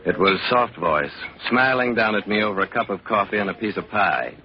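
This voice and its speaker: A man's voice: fairly low pitch, lively intonation, fairly quick, natural voice. An actor playing a wry, defensive murder suspect in a 1940s radio suspense drama, telling his own story. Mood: brooding, wry. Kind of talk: 1940s radio suspense acting, hard-boiled narration. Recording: muffled vintage radio broadcast, music behind.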